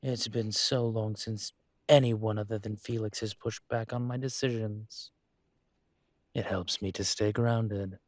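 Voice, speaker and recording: A man playing a suave, courteous dragon mafia boss in an intimate roleplay audio, with a husky, breathy voice and a gentle, teasing formality. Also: on the low side, steady tone, measured pace, dry recording, clean studio sound, close to an everyday voice. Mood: warm, sincere.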